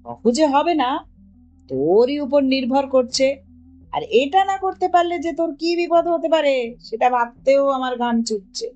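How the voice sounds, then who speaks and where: A woman's voice: high voice, expressive, a little slow, a little mellow, lo-fi sound, faint music behind, voice pushed up. A woman voicing a bossy, eccentric older boy who knows it all and talks with emphatic, mock-grave drama in a storybook reading. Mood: urgent, conspiratorial.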